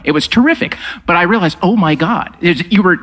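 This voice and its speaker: A man's voice: sits high, fairly quick, a bit bright. A man co-hosting on stage, a screenwriter telling a casual, enthusiastic anecdote. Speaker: co-host, screenwriter.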